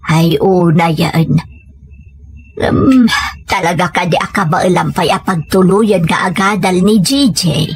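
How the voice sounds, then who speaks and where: A woman with a Filipino accent playing a sympathetic older village neighbour in an audio drama, chatty and expressive. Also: lowish voice, slow, soft background music. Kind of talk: audio comedy-drama acting.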